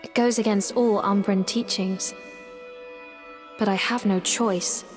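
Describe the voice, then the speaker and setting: A woman voicing a determined young witch in training in a video game, speaking in a resolute, hushed way. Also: medium pitch, steady tone, takes it slowly, in a large hall, soft background music, close to an everyday voice. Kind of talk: video game cutscene acting.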